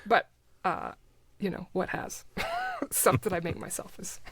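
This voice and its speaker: A woman's voice: lowish voice, fairly even intonation, measured pace, fairly bright tone, breathy edge, dry recording. A middle-aged woman, a podcast guest, talking in casual interview chat with an informal, self-deprecating manner. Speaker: podcast guest, voice actor and ad producer.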